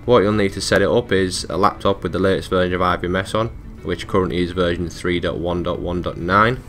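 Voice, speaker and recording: Deep, gravelly-voiced man giving a tech tutorial. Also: fairly even intonation, a little fast, a bit bright, soft background music. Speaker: technical support tutorial presenter. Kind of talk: casual step-by-step software walkthrough.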